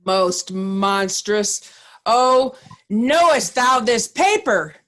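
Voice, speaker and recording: A righteous, commanding nobleman of Shakespearean drama, played by a woman. The voice sits fairly high and moves slowly, with deliberate, declamatory phrasing. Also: animated, a bit bright, full character voice. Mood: stern, outraged. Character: righteous, commanding nobleman confronting a wicked wife. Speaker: amateur actor in a reader's theatre. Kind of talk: Shakespearean stage reading.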